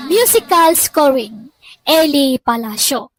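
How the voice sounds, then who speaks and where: A woman's voice: sits high, expressive, slow, a little hiss or hum. A woman with a Filipino accent hosting and narrating a scripted radio-style drama. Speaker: host and narrator.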